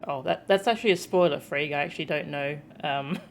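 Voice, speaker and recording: A woman's voice: deep voice, brisk, a little hiss or hum. A woman streaming a game, giving casual, amused live reactions in an informal way. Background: game music.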